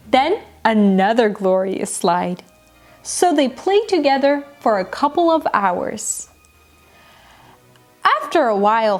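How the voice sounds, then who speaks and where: Lively, slow-paced woman storyteller. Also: high voice. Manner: animated, deliberate storybook delivery. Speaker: storyteller, children's show host. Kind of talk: expressive children's bedtime story narration. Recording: music behind the voice. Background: background music.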